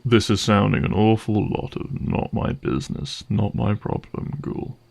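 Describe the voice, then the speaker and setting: A man playing a gruff, cynical vampire hunter for hire in a dramatic audio roleplay, speaking in a wry, sardonic and menacing way. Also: lowish voice, steady tone, measured pace, a little mellow, full character voice. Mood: sardonic, dismissive. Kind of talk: dramatic audio roleplay monologue addressed to listener.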